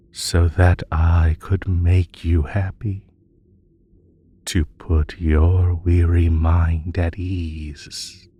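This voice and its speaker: A man playing a possessive, sweetly menacing magical bunny in an intimate roleplay audio, coaxing and hypnotic in a drawn-out, soothing delivery. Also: low-pitched, warm tone, breathy edge, full character voice. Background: music.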